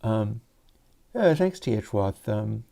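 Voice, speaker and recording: Male livestream host, soothing and mellow, easygoing explainer on a lav mic. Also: fairly low pitch, takes it slowly, gravelly. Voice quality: soft, soothing voice. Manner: relaxed, rambling, explains gear step by step. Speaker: livestream host and audio developer. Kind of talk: casual livestream demo. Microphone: lavalier mic.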